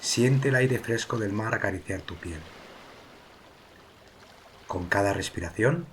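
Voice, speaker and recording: A man with a fairly low voice leads a guided meditation. He speaks in a calm, soothing manner, leaving pauses between slow phrases. Soft sea waves wash faintly behind his voice. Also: a little hiss or hum. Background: sea waves, water ambience.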